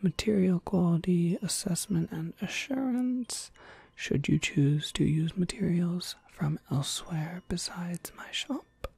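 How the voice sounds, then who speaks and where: Woman doing asmr witch running a spell shop, low breathy whisper, bit of creak, polite and businesslike. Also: dry recording, heavily acted. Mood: patient, explanatory. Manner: measured, polite, gently explanatory. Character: courteous, businesslike witch shopkeeper who crafts spells.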